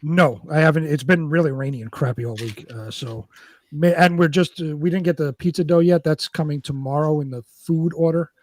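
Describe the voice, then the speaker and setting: A man's voice: fairly high pitch, fairly quick, a little mellow, no echo. A man co-hosting a show in casual, conversational chat. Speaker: co-host.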